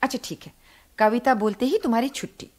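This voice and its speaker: A woman's voice: a little high, fairly quick, darkish tone, close to an everyday voice. A woman playing a strict but patient mother coaching a child in a radio drama. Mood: chiding, patient. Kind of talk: Hindi radio drama acting.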